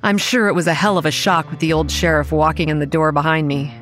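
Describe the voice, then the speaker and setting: An actress playing a young campground manager who narrates a horror audio drama in a wry, dry, understated way. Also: female voice, low voice, fairly even intonation, quick pace, a bit bright, faint music behind. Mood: wry narrating. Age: young adult.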